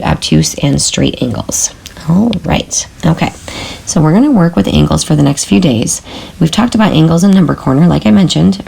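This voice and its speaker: A woman teacher giving a recorded math lesson in a hoarse voice from a sore throat, patiently checking in with her students with a frequent "okay?". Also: deep voice, a little mellow, rough recording.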